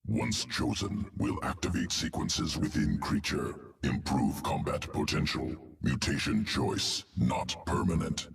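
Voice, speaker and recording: A man voicing a cold, obsessive insectoid alien geneticist in a video game, speaking in clipped, telegraphic phrases with a guttural croak. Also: sing-song, a little slow, full character voice. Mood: cold, clinical. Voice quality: deep guttural gravelly croak. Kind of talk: video game character dialogue. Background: music.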